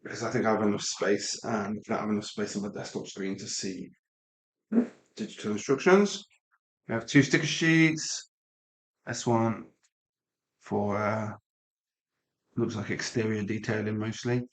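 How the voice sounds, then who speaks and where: A man's voice: lowish voice, lively intonation, unhurried, long pauses, echoey room, low-quality recording. A middle-aged man with an English Midlands accent, chatting casually and rambling with asides to viewers. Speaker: live-stream Lego builder. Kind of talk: casual live-stream chat while building.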